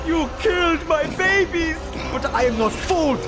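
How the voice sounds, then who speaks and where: An actor playing an unhinged elf botanist in a video game, melodramatic, frantic and accusing. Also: male voice, extremely high pitch, lively intonation, normal speed, over loud music. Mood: accusing outrage. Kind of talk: video game cutscene acting.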